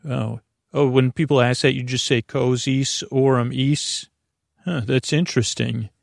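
A man hosting a bedtime podcast with a creaky voice, meandering hesitantly through a soothing monologue and often losing his thread. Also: mid-range voice, steady tone, measured pace, dry recording, studio quality. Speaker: host of a bedtime story podcast to help listeners sleep.

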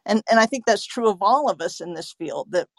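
Woman, average pitch, earnest interviewer, agreeable conversational delivery, dry studio-like closeness. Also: expressive, fairly quick, no room sound. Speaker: interviewer, narrator and website owner.

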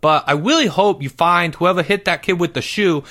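A man's voice: high voice, moderate pace, fairly bright tone, pitched above the performer's own voice. A man voicing a nervous, evasive schoolboy with a hesitant, stammering delivery. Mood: flustered, evasive.

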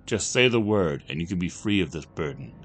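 A man's voice: sits low, animated, a little fast, slight background noise. A man voicing a sinister, formally polite stranger who offers devilish bargains in a story reading. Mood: coaxing. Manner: formal, smooth-talking, taunting. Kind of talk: audiobook character acting.

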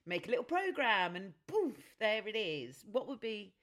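A woman's voice: mid-range voice, sing-song, moderate pace, fairly bright tone. A woman hosting a podcast, asking questions in a casual, chatty interview style. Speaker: podcast host.

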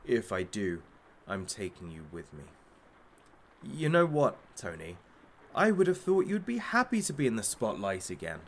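A man's voice: lowish voice, sing-song, normal speed, slight background noise. Theatrical, wildly swooping sadistic showman. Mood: needling. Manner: theatrical, mocking showman, taunting. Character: masked male kidnapper hosting a sadistic hostage talk show. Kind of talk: audio drama, parody talk show hosting.

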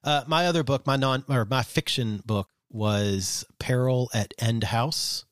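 A man co-hosting a podcast, chatting in a relaxed way, with frequent fillers and the odd swear. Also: medium pitch, a little slow, no room sound, clean studio sound. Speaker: podcast co-host. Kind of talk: casual chat.

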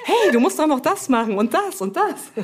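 A woman's voice: high-pitched, very animated, normal speed. A woman brand manager as an interview guest, explaining things informally in hesitant, piecemeal phrases.

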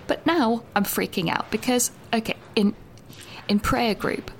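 Picture a fretful young student, played by a woman, her pitch rising and falling as she rambles and restarts. She starts close to a whisper. Her voice rings off the hard walls of a small toilet, with faint sounds behind her. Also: very animated, long reverb tail, a little hiss or hum. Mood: anxious whisper. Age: young adult. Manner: rambling, halting, with many restarts; whispering at first. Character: anxious, rambling young female student, second year. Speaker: university student leaving a voice note. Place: small echoey toilet room.

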